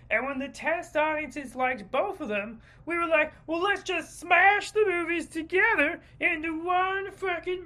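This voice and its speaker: A man's voice: extremely high pitch, bright, forceful tone, pitched above the performer's own voice, heavily acted, poor audio. A man voicing a dim, childish, overexcited male movie producer in a comic rant, blustering in simple-minded phrases.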